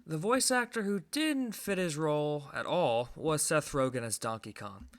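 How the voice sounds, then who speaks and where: A man's voice: on the high side, expressive, normal speed. A man giving a scripted film review as host, conversational and opinionated, with emphatic stresses.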